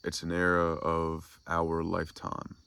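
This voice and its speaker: A man hosting a soft-spoken spiritual ASMR talk, congested and nasal from a cold, musing slowly and reflectively. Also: monotone. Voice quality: deep, gravelly. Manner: slow, meandering reflective musing. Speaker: ASMR spiritual channel host.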